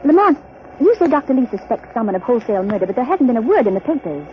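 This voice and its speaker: A woman's voice: a little high, very animated, racing. An actress playing an eager, anxious young lady companion, refined and dramatic, in 1930s radio mystery style. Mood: curious. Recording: dull, noisy 1930s radio recording.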